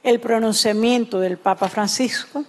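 Higher-pitched female sermon interpreter. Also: fairly even intonation, unhurried.

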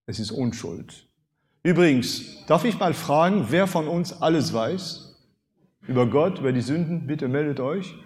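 A man in his fifties whose first language is French preaches in a fairly high voice. He pushes points with sudden rises in loudness and rhetorical questions. His voice carries a long reverb, as if from a large hall. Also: a little slow. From France. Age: 50s. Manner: animated, rhetorical questions, emphatic swings in loudness. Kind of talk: sermon.

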